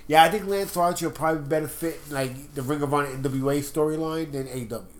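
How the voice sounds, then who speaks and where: A man with a slightly high voice and a stuffed-up nose, talking through his views in quick, opinionated bursts. He co-hosts a relaxed wrestling chat podcast, and he pushes his points hard and at length. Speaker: podcast co-host.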